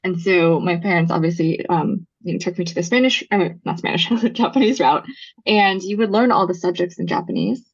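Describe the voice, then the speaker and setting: A woman's voice: lowish voice, a little fast, breathy edge. A young woman, a podcast guest who tells stories in a casual way, with many ums and likes. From Los Angeles, USA. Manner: storytelling, frequent ums and likes, laughs easily. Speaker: podcast guest, brand founder. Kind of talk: casual interview chat. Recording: dull muffled podcast audio.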